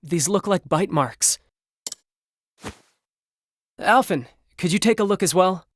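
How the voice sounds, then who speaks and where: An actor playing a gentle, earnest young man who heals villagers in a video game, with polite, well-spoken delivery. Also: high-pitched, expressive, takes it slowly, exaggerated voice. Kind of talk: video game cutscene acting. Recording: background music under the voice.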